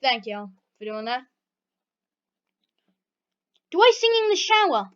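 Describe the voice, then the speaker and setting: A woman's voice: fairly high pitch, big pitch swings, a little slow, clear, resonant tone. A British teenage girl chatting casually through a question-and-answer vlog, rambling with plenty of fillers. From Britain. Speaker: channel host. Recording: dull lo-fi recording.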